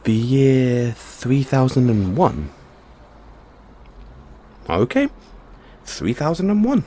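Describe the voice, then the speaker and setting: Man playing an excitable mad scientist, wildly swooping pitch. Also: slow pace, slight background noise. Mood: playful excitement. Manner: theatrical, geeky, hesitant musing between outbursts. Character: excitable eccentric mad scientist boyfriend with time machine. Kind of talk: audio roleplay acting, addressed to listener.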